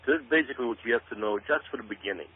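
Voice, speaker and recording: A man's voice: medium pitch, animated, very fast, slight background noise. A man from Israel giving emphatic, explanatory talk-radio commentary as a guest, often repeating phrases for stress. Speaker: author and researcher, radio show guest. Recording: tinny phone-like radio audio.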